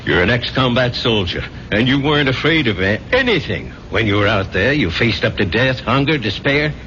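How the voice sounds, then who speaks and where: An American actor playing a protective, middle-aged ex-soldier barkeeper in a 1970s radio drama. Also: male voice, mid-range voice, animated, moderate pace, sharp tone. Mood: urgent, exhorting. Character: gruff, protective older ex-soldier barkeeper, urgent and fatherly. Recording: muffled, hissy 1970s radio recording.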